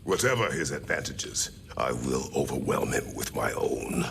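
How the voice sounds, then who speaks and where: An actor playing a stern, brooding older warrior god in a video game, gruff and terse, with formal, commanding speech. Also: male voice, rumbling low, sing-song, normal speed, noisy background, heavily acted. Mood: grim, resolute. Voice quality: gravelly. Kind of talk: video game cutscene acting.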